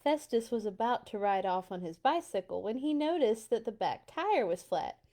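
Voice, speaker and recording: A woman's voice: fairly high pitch, lively intonation, moderate pace, warm tone. A woman hosting a children's read-aloud, speaking informally and chatting in asides to her listeners between passages. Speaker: children's storytime host.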